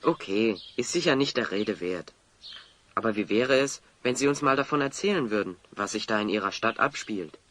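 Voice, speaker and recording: An actor playing a cheeky, practical young adventurer in a German audio drama, speaking in a casual, matter-of-fact way. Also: male voice, lowish voice, animated, normal speed, a bit bright. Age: young adult. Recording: heavy noise behind the voice.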